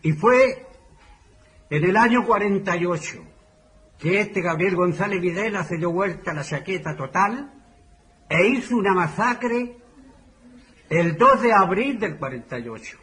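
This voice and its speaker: Older Chilean male, high-pitched, giving halting oral-history testimony at an unhurried pace. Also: lively intonation, fairly bright tone. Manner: deliberate, halting phrases with pauses. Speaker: former community leader giving testimony.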